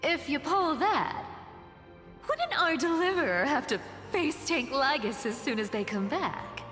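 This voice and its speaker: An actress voicing a sly, playful young demigoddess of trickery in a game, with a taunting, expressive delivery. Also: female voice, high voice, unhurried, exaggerated voice. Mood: curious, teasing. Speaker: voice actor in a game. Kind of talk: dramatic game dialogue. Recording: reverberant hall with music underneath.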